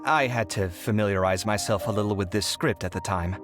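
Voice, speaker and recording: A man playing an earnest, expressive young architect in a video game, good-natured and easily carried away. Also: lowish voice, fairly quick, over music, natural voice. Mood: reflective. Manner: animated, sighing, earnest.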